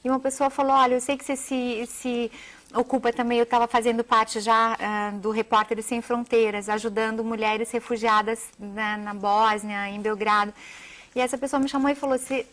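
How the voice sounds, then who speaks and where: High-voiced Brazilian woman in interview. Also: flat delivery, moderate pace, fairly bright tone. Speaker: guest, journalist and author. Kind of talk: television interview, animated storytelling.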